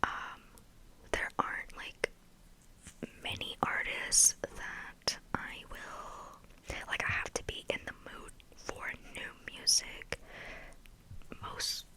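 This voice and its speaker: A young woman from Texas chatting casually in a soft whisper for ASMR, full of "like" fillers. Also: takes it slowly, rough recording. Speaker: ASMR creator.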